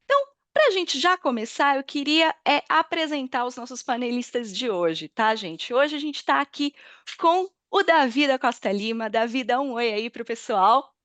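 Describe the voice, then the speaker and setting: A woman's voice: high voice, lively intonation, normal speed, a bit bright, dry recording. A woman with a Brazilian Portuguese accent hosting an online webinar in an informal, welcoming, chatty way. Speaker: webinar host, senior marketing manager. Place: online video call.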